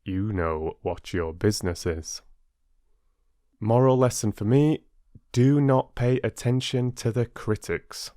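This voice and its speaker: A male storyteller with a fairly low voice and a very slow, deliberate delivery. He phrases expressively, as in an audiobook, and addresses listeners as their host. The recording is close and dry, with no room sound. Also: warm tone, no echo.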